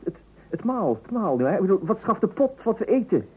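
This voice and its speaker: A man's voice: sits high, big pitch swings, brisk, slightly rough, full character voice. An actor playing a flustered, put-upon husband and father in a radio comedy, hesitant, stammering and repeating himself. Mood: flustered protest. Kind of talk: Dutch radio comedy play acting. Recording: thin, phone-like old radio recording.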